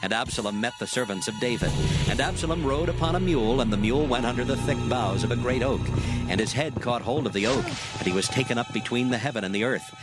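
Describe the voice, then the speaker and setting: Male scripture narrator over loud music. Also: mid-range voice. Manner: measured, formal.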